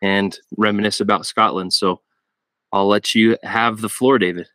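A man's voice: lowish voice, steady tone, moderate pace, pushed and bright, no room sound. A man hosting a podcast in a casual way, with upbeat short interjections. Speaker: podcast host.

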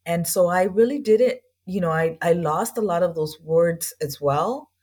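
A woman's voice: fairly low pitch, unhurried, a little mellow. A woman from East Los Angeles, a guest on an interview podcast, telling her story in an earnest, reflective and loosely chatty way. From East Los Angeles, USA. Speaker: guest, language school founder.

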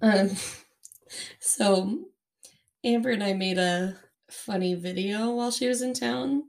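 A woman's voice: mid-range voice, takes it slowly, gravelly. A young American woman co-hosting a podcast in casual chat, her voice worn by a cold. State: recovering from a cold and lost voice. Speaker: podcast co-host.

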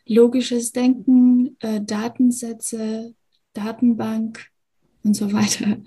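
A young woman from Albania speaks, pausing often and filling gaps with hesitations as she answers. Her voice sits a little high, with a mellow, husky tone. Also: little pitch movement, low-quality recording. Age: 20s. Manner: hesitant, many fillers and restarts. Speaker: guest, computer science student. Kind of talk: casual interview answers.

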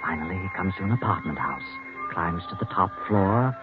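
A deep-voiced, sinister storyteller narrates a vintage radio mystery. He is measured, knowing and ominous, over prominent music on a dull old recording. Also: animated. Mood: ominous narration.

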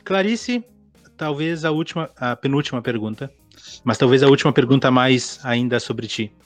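Host: easygoing interviewer, mid-pitched, dry close audio, soft music bed. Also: normal speed, no echo. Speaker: podcast host. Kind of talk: casual interview questions.

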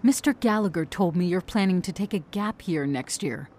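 A woman playing a firm, pressuring high school biology teacher in an audio drama, with a didactic manner. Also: lively intonation, moderate pace, darkish tone, a little hiss or hum, lightly acted. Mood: stern concern.

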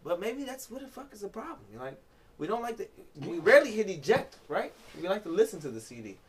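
A man's voice: high voice, big pitch swings, fast, slightly rough. A man hosting a casual podcast, reading aloud and riffing in an informal, profane, joking way. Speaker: podcast host. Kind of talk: casual chat with read-aloud article commentary.

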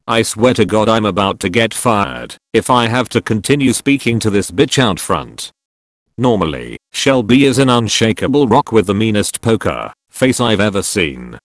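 A man narrating posts in an even, steady storytelling manner. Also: lowish voice, dry recording. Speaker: narrator reading online posts. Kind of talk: scripted narration.

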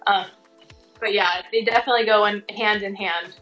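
A woman's voice: medium pitch, steady tone, moderate pace, bright, forceful tone, dull audio, soft background music. A woman chatting casually as an interview guest, informal and sprinkled with "like" and "you know". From Tongan American. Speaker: interview guest, working fashion and commercial model.

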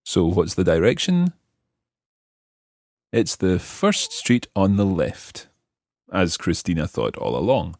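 A man hosting a language lesson, explaining example phrases piece by piece with careful, measured articulation. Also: low voice, huge pitch swings, darkish tone, dry recording. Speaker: language teacher and host.

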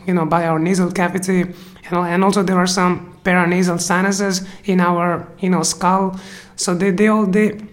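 Lecturer with a cold, halting delivery, frequent restarts, middle pitch. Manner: halting, many restarts and "you know" fillers. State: has a cold. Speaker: teacher. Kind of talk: unscripted explanatory lecture.

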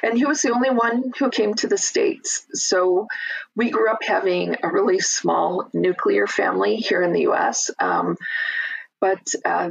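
A middle-aged guest from Chicago talks through a relaxed interview, unhurried and thoughtful, often pausing to find the next word. The voice is very gravelly, with a husky, slightly rough edge. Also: mid-range voice, poor audio. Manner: unhurried, thoughtful, many "um" pauses. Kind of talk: casual conversational interview.

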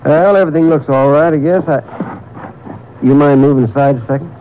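An actor playing a brisk, no-nonsense small-town sheriff in a 1950s radio drama. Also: male voice, fairly high pitch, natural voice. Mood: suspicious, probing. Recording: noisy, dull old radio recording.

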